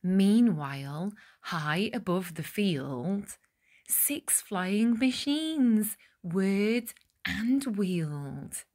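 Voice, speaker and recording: Very slow, animated woman storyteller. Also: on the low side. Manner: deliberate, dramatic phrasing. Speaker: picture book reader. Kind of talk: expressive read-aloud of a rhyming children's storybook.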